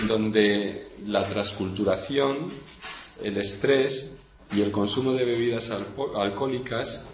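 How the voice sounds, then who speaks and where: A man with a fairly low voice moderates an event, giving a semi-formal, halting introduction as if from notes. The sound is echoey and a little dull. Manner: halting, stumbles over words as if reading notes. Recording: roomy echo, dull sound, background noise.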